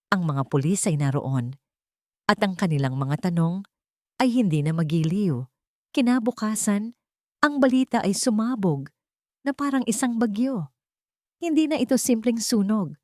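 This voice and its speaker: Woman, lowish voice, dramatic scripted storytelling, first-person audiobook narrator, dry sound. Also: a little mellow, no echo.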